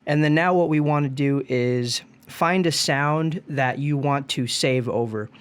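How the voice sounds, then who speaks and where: A man's voice: fairly high pitch, a little slow, clear, resonant tone. A man presenting a music gear tutorial in an easygoing, informal step-by-step way.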